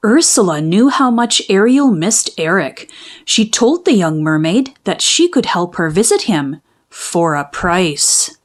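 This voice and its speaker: A woman reading a children's story aloud in expressive, dramatic, carefully phrased narration. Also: on the low side, unhurried. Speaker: storybook reader.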